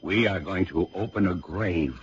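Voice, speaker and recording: Actor as a deep-voiced, resolute father. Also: normal speed, close to an everyday voice. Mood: grave, resolute. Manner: firm, commanding, solemn. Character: grave, resolute, protective father. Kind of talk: radio drama acting. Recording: muffled old radio recording with music.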